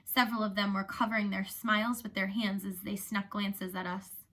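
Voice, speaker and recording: Bright-voiced woman reading a story aloud. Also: mid-range voice, normal speed. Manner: animated, acts out the dialogue. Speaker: reader of a children's novel. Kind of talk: expressive read-aloud storytelling.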